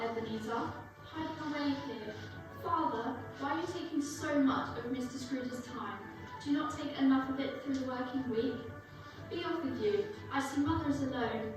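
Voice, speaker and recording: Young actress as teasing sweetheart, high, echoing. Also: female voice, close to an everyday voice. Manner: playful, proper. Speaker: youth stage actor. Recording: very echoey hall, distant mic, music behind.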